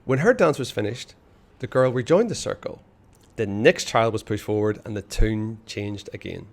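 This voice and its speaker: A man's voice: on the low side, moderate pace, vocal fry. Man reading a story aloud expressively. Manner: expressive, hushed on dark passages. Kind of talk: reading a children's novel aloud.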